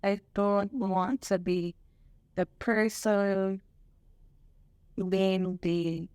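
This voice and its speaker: A young woman, answering questions as a guest. She speaks softly and hesitantly, often pausing mid-thought. Her voice is mid-pitched and recorded very dry and close. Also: steady tone, clear, resonant tone, dry recording. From Barbados. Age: 20s. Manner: hesitant, soft-spoken, halting. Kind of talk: casual chat.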